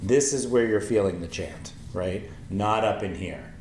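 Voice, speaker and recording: A man in his forties with a low voice, teaching a yoga lesson slowly and gently with many pauses and ums. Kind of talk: calm spoken yoga lesson with chanting. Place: small wooden-floored room with paper screen, indoors. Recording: echoey room, faint ambient noise.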